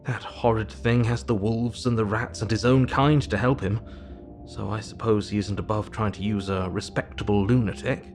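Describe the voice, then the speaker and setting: An actor playing a stern, measured asylum doctor narrating his account formally in an audio drama. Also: fairly low pitch, steady tone, darkish tone, soft background music, natural voice. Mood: uneasy, earnest.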